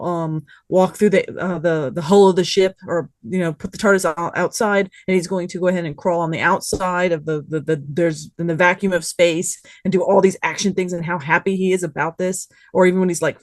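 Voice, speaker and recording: A woman's voice: fairly low pitch, no echo. A woman on a podcast panel, chatting casually in rambling, enthusiastic detail. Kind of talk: casual review chat.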